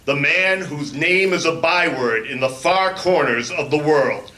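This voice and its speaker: A man's voice: on the high side, pushed and bright. A man announcing and narrating in old-time radio serial style, melodramatic and declamatory. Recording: echoey live convention stage.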